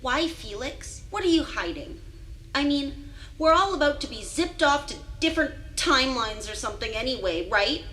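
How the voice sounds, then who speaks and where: A woman's voice: high-pitched, big pitch swings, moderate pace, sharp tone, exaggerated voice. A woman playing an earnest young newcomer to a spaceship crew in an audio drama, inquisitive and caring. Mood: challenging.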